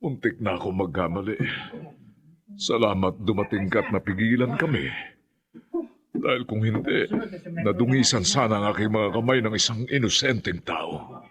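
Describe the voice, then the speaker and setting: A man's voice: lowish voice, normal speed, pauses often, close to an everyday voice. Casting: actor as grim, earnest male village leader, radio horror drama, dramatic delivery, busy crowd behind him. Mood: earnest, remorseful. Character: grim earnest village man leading men against monsters.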